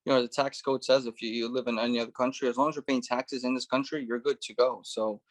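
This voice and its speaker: A man's voice: medium pitch, fairly even intonation, quick pace. A man in his thirties, born in Russia, telling his life story as a guest in a casual interview, with lots of "you know" and "right". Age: 30s. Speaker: guest, former police officer turned real estate investor.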